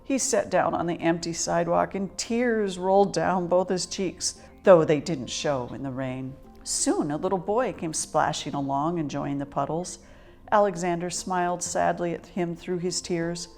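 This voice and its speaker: A woman reading a children's picture book aloud as an expressive, dramatic storyteller. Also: fairly low pitch, unhurried, creaky, faint music behind. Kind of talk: expressive scripted story narration.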